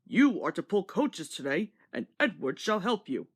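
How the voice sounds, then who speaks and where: A woman voicing a kindly, authoritative male railway controller in a children's story, measured and instructive. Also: fairly low pitch, sing-song, breathy edge. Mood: kindly, instructing.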